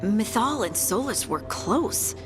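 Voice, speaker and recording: A woman's voice: a little high, animated, slow pace. A woman voicing a thoughtful companion in a fantasy video game, conversational and reflective. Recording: music behind.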